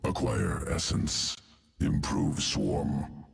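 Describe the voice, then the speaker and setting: A man voicing a cold, calculating insectoid alien in a video game, speaking in clipped, telegraphic phrases with a gravelly, guttural voice. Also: very deep voice, extremely slow, heavily acted. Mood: cold, clinical. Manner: clipped telegraphic phrases, dropped words, detached. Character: alien insectoid evolution master of a swarm, cold, clinical, calculating. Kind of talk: video game character dialogue.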